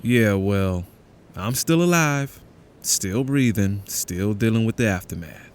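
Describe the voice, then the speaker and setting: A man's voice: on the low side, lively intonation, slow pace, slight background noise. A man playing a bitter, sardonic conspiracy believer in an audio drama, talking in an accusing, emphatic way. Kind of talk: audio drama, naturalistic phone conversation.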